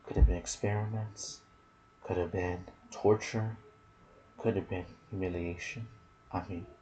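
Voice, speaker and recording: A man giving hushed ASMR gaming commentary, musing softly and often dropping toward a whisper. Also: low voice, a little hiss or hum, rough recording. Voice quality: soft, breathy, partly whispered. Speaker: gaming video creator and host. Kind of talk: ASMR gaming commentary mixed with casual chat.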